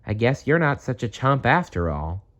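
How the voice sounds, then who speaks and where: A man's voice: medium pitch, sing-song, moderate pace, a bit bright, dull audio, pitched above the performer's own voice. A man voicing a big schoolboy bully in a storybook, challenging and grudging in manner. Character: big, older schoolboy bully, gruffly suspicious, softening. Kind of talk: storybook read-aloud.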